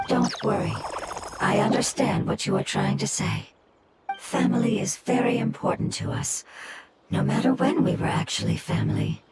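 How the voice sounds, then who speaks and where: A woman voicing a gentle, thoughtful creature in a video game, explaining things politely and carefully. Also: a little high, lively intonation, moderate pace, heavy background noise, close to an everyday voice. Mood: comforting. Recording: loud game music behind.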